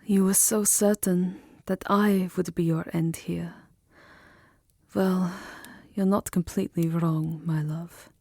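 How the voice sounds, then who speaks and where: A seductive vampire countess, played by a woman, speaks very slowly in a low, mellow murmur that often falls to a whisper. She is languid and intimate, a tender lover with a wistful, dangerous edge, close and dry as ASMR roleplay. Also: steady tone, no echo. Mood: ominous, confessional. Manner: hushed, breathy, often dropping into whispers, languid and sensual.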